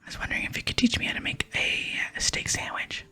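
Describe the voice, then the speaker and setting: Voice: quick pace, sharp tone, soft background music. A person voicing a polite, pleading and slightly cheeky video game adventurer in a whisper. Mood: hesitant, pleading.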